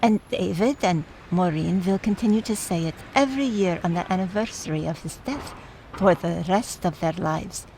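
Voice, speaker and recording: A woman's voice: on the low side, normal speed, darkish tone, a little hiss or hum, lightly acted, rough recording. A woman playing a fond, opinionated, wry older aunt in mourning, in radio play dialogue. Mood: wry, nostalgic.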